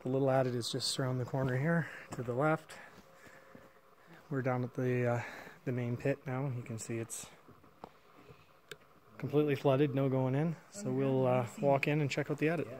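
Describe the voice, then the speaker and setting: A man's voice: medium pitch, fairly even intonation, moderate pace, long pauses, darkish tone. A man filming and casually narrating a walk through an old mine site outdoors, thinking aloud with fillers on a camera mic. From Canada. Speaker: mine explorer filming a walkthrough.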